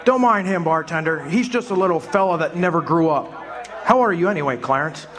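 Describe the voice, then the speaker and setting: A man's voice: on the high side, a little fast, a bit bright, a faint crowd, lightly acted. A man playing a bewildered, exasperated family man, stammering and agitated in a live radio drama. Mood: uneasy. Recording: live stage recording, faint room noise.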